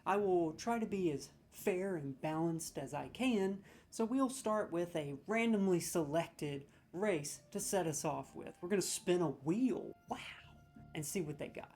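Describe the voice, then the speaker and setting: A man riffing through a comedic gaming commentary with excitable, sarcastic energy, trailing off and restarting as he rambles. He swears freely and keeps checking in with the listener. Faint music plays underneath his voice. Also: high-pitched, measured pace. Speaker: gaming video host.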